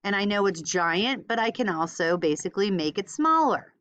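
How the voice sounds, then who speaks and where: A woman teaching a step-by-step software tutorial informally, thinking aloud as she demonstrates. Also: medium pitch, animated, moderate pace, smooth. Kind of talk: casual screen-recorded step-by-step tutorial.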